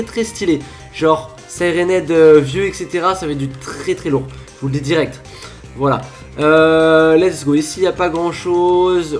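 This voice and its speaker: A man with a stuffy, congested voice giving chatty, playful Minecraft commentary as a gaming video creator. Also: sits high. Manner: chatty, playful, bursts into laughing shouts. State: stuffy with a cold. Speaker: gaming YouTuber.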